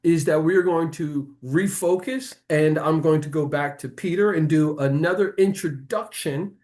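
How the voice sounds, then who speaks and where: A man hosting a conversational interview, announcing things in a deliberate, wordy way. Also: fairly high pitch, darkish tone. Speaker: interview host. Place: remote call, recorded on a backup device.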